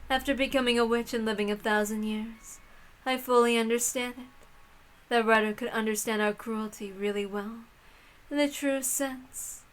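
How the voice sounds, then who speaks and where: A woman's voice: a little high, steady tone, moderate pace, close to an everyday voice, poor audio. A woman playing a haughty, amused, cruel witch lady in a visual novel read-aloud, with a grand, commanding, theatrical delivery. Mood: fond, knowing.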